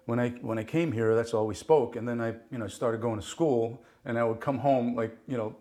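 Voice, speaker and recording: A man's voice: medium pitch, steady tone, brisk, warm tone. A middle-aged man, born in Italy with Italian as his first language, talking casually as an interview guest, rambling with many fillers and frequent laughs.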